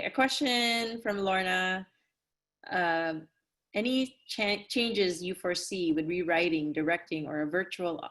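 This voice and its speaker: A woman's voice: on the low side, fairly bright tone. A woman moderating a casual online question-and-answer panel, speaking over a video call. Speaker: moderator of a theatre Q&A.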